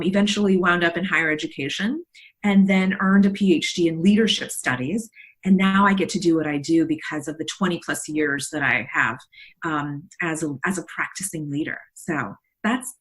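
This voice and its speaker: A woman's voice: mid-range voice, a bit bright. A woman in her forties from the South Texas Mexican border, Spanish her first language, a leadership coach answering interview questions in a rambling way full of fillers and restarts.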